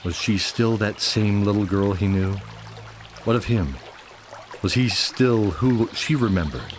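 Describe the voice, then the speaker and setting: Deep-voiced male fantasy narrator. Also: unhurried, a little hiss or hum. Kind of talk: scripted fantasy audio drama narration.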